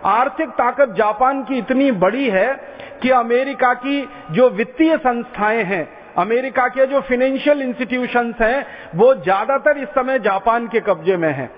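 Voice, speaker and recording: A man from India giving an impassioned public lecture, driving home each point with repeated parallel phrases. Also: extremely high pitch. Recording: tinny phone-like sound in a reverberant hall.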